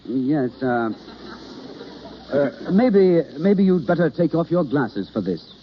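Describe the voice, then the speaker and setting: Theatrical drama coach, vintage radio play. Very lively intonation, instructive, slightly hesitant, muffled hissy recording. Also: male voice, a little high, slow pace. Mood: hesitant, polite. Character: male theatre understudy coaching a novice, impatient yet kindly. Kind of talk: old-time radio drama acting.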